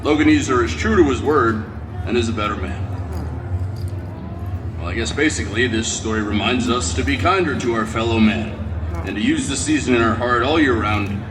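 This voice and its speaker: Casting: male actor as grumpy, deadpan superhero, curt and reluctant, medium pitch, heard from a distance in an echoey live show. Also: moderate pace, over music, lightly acted. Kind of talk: theatrical comic banter.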